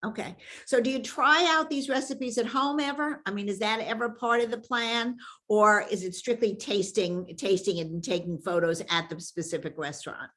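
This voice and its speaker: An older woman co-hosts a relaxed podcast interview. Her voice sits at a middle pitch, and she asks her guests curious follow-up questions in a conversational way. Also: moderate pace. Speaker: podcast co-host. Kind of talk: casual interview chat.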